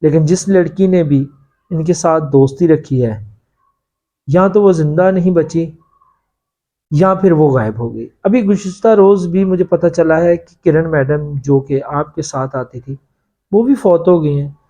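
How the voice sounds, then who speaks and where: Man reading as confiding, mellow-voiced factory worker. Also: on the high side, a little slow, dull audio, natural voice. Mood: grave confiding. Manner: confiding, cautioning, deferential. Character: long-serving male factory worker, secretive, earnest informant.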